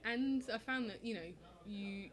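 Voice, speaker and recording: A young woman from England with an English accent, answering interview questions in a relaxed, hesitant way with many ums and restarts. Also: fairly high pitch, unhurried. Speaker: interview guest, oral history project maker. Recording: crowd noise in background.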